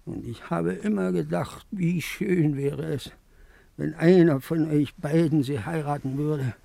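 An actor playing a frail, pleading old man in a 1950s radio play, halting, wistful and coaxing. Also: on the high side, gravelly, dark tone, no echo, exaggerated voice. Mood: hesitant, wistful. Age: older.

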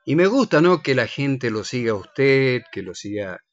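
A man's voice: mid-range voice, very animated, normal speed, lo-fi sound. An Argentine man hosting a radio show with casual, teasing banter. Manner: jokey, teasing. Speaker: radio host and director. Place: radio studio.

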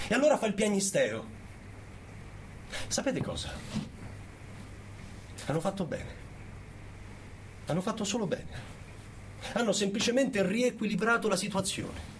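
A man playing a contemptuous, authoritarian who preaches harsh discipline in a theatrical radio monologue, scornful and full of rhetorical questions, with noise behind him. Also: high-pitched. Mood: mocking scorn, then cold righteousness.

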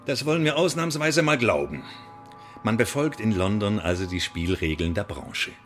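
An actor playing a menacing, mock-polite secret-service interrogator in a radio drama, articulate and sardonic. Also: male voice, lowish voice, animated, moderate pace, soft background music, lightly acted. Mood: smug, coolly coercive.

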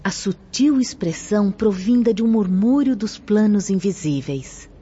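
An actress playing a gentle, solemn female spirit teacher in a radio drama, with a Brazilian accent and reverent, declamatory phrasing. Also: mid-range voice, expressive, measured pace, slightly rough. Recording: dull lo-fi audio under music.

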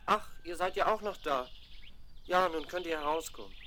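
A man's voice: on the high side, normal speed, bright, forceful tone. A grown man as a horse breeder, patient and explanatory. The delivery is children's radio-play acting. It comes off a noisy old record. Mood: calm, practical. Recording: noisy 1970s vinyl rip.

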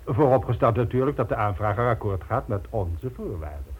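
Radio drama villain: suave, ruthless, condescending businessman of crime, animated delivery, muffled lo-fi recording. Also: mid-range voice, fairly quick, slightly rough. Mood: smug, businesslike. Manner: smug, polished, coolly condescending. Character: suave, ruthless male criminal mastermind running a business. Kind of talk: Dutch radio play acting. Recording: dull lo-fi old radio recording.

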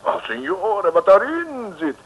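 An actor playing a fawning, florid Italian waiter with a mock Italian accent in an old radio comedy. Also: male voice, sits high, husky, lo-fi sound, exaggerated voice. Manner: flattering, florid, drawn-out delivery. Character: obsequious, florid Italian male restaurant waiter. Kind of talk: 1950s Dutch comic radio serial acting.

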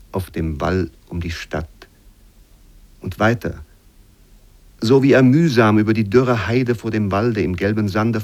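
Male radio-play narrator, fairly deep register, measured literary delivery, careful phrasing. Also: a little mellow.

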